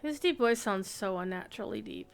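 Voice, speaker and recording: A woman's voice: mid-range voice, animated, a little fast, clear, resonant tone. A woman streaming a game and chatting casually, thinking aloud and sometimes reading the quest text. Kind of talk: casual gameplay commentary. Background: faint game music.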